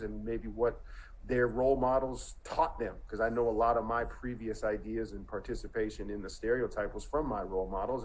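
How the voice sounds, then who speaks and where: A man's voice: mid-range voice, slight background noise, low-quality recording. A man in his forties, a coach speaking on a panel, talking earnestly and reflectively, with frequent restarts. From Montana. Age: 40s. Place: indoor room, video call.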